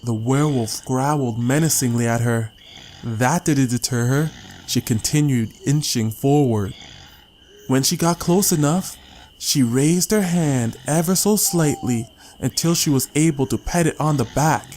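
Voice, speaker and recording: A man's voice: medium pitch, a little slow, darkish tone, slight background noise. A man narrating a story as a scripted, dramatic audiobook reading.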